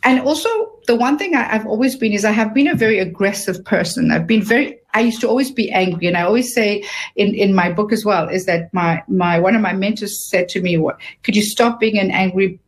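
A woman in her fifties answers interview questions about her book. She speaks earnestly and thoughtfully, backing up and restarting phrases as she works out what to say. Her voice sits at an ordinary middle pitch. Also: fairly quick. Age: 50s. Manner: earnest, searching, with many restarts; describes herself as having a loud voice. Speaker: author and guest.

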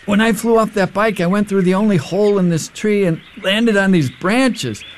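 Man playing a high-pitched, cocky teenage boy. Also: normal speed, slight background noise, close to an everyday voice. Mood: carefree. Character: cocky, reckless teenage brother. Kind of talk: radio drama acting.

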